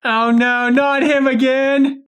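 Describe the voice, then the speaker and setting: A high-pitched, flustered innocent man acted out by a man pleads in exaggerated fashion. The delivery is slow and belongs to a hammy game roleplay. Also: level tone, a bit bright, a little hiss or hum, exaggerated voice. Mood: dismayed, pleading. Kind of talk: improvised video game roleplay.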